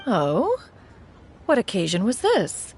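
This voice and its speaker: A woman's voice: mid-range voice, huge pitch swings, slow pace, a little mellow, faint music behind, some chatter behind. A woman voicing a proud, ancient female immortal in a video game, speaking in a formal, archaic manner. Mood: proud, indignant. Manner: formal, archaic, haughty, calls herself "one". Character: proud, ancient, dignified female immortal master in human form.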